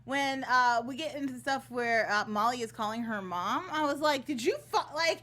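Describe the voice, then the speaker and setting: A woman with a distinctly high voice chats as a podcast panelist. Her delivery is casual and playful, with a joking edge to it. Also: moderate pace, bright, forceful tone. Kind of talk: casual chat.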